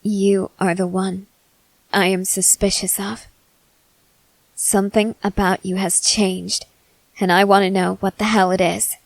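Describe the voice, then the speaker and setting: A woman playing a stern, suspicious young female soldier in a dramatic ASMR roleplay, speaking in terse, deliberate phrases with pauses. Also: medium pitch, little pitch movement, creaky, no room sound, poor audio. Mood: accusing. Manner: slow, deliberate, terse, intense delivery with pauses.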